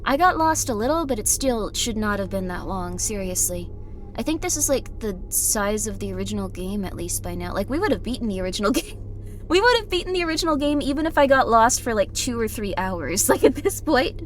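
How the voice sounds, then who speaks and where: A tired woman streaming a game, chatting casually in a slightly raspy voice, rambling with many fillers. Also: on the high side, big pitch swings, a little fast, slight background noise. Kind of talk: casual chat while playing a game.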